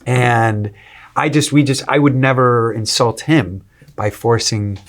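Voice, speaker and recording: A man, a restaurant owner talking as an interview guest, energetic and salesy with many fillers and restarts. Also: mid-range voice, slow pace. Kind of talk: casual interview talk.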